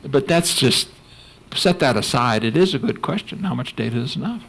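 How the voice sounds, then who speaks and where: An older man, a clinical psychologist speaking on a conference panel, animated and laughing, putting on voices for emphasis. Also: medium pitch, moderate pace, creaky, breathy edge.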